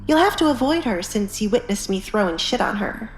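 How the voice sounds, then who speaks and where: A woman's voice: medium pitch, animated, fairly quick, roomy sound, slight background noise, lightly acted. A woman playing a guarded, commanding young noblewoman in an audiobook drama, speaking in a firm, controlled way. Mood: frank, assured. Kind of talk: audiobook drama character acting. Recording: music behind the voice.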